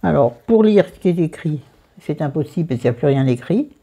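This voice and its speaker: Woman, middle-aged, southern French accent, low mellow voice, husky, chatty beauty vlogger. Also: expressive, a little fast. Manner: chatty, self-mocking, talks to viewers and friends by name. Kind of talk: casual makeup and skincare chat.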